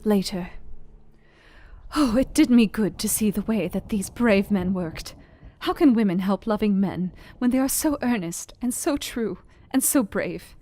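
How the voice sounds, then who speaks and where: A woman's voice: medium pitch, animated, unhurried, warm tone. A woman playing a brave, devoted young Victorian wife, reading her journal in an earnest, formal manner for a radio play. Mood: grateful, admiring. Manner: earnest, heartfelt, formal old-fashioned phrasing. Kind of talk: dramatized diary narration in a radio play.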